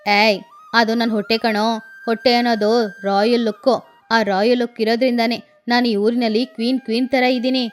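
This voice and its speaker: A woman's voice: fairly high pitch, little pitch movement, normal speed, voice pushed down, lightly acted. A woman voicing a bossy, boastful, eccentric female crow in a cartoon story. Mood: boastful, insistent. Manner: bossy, self-assured. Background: background music.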